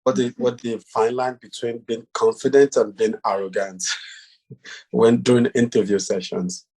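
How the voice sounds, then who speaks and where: Man, panelist on a video call; hesitant, filler-heavy, steady mid-range voice. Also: medium pitch, unhurried, breathy edge. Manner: hesitant, many ums and uhs. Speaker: panelist on job hunting. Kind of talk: casual panel advice.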